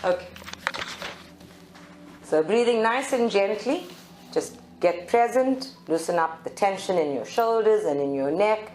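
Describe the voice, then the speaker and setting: A woman leading a guided spiritual meditation in slow, deliberate phrases with long pauses. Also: medium pitch, animated, a little mellow, a little hiss or hum. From South Africa. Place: group meditation room at a centre. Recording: live roomy recording with faint music. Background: faint music.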